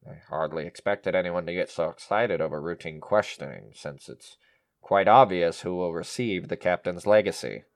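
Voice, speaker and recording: A man's voice: deep voice, moderate pace, dry recording, clean studio sound. An actor playing an insistent police inspector in a radio play, formal and pointed in his questioning. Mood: unmoved. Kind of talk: melodramatic radio play acting.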